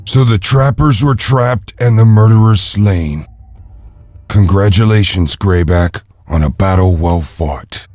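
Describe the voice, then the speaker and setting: A male actor playing a serene, cryptic monk and ninja in a video game, with measured, formal delivery. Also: on the low side, a little mellow, no echo, soft background music, natural voice. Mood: composed approval. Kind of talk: dramatic video game acting.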